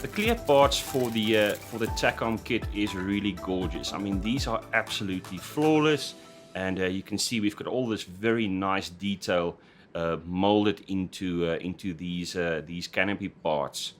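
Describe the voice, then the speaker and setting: A man hosting a scale model tutorial in voice-over, talking hesitantly with many "uh" fillers and restarts, his voice slightly croaky after an illness. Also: deep voice, moderate pace. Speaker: scale model building host. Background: background music.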